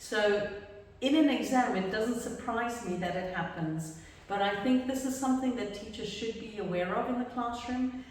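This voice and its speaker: Brief: woman exam trainer, relaxed Q&A talk, recorded far from the mic in a very echoey room. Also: on the high side, moderate pace. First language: English. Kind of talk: casual Q&A discussion.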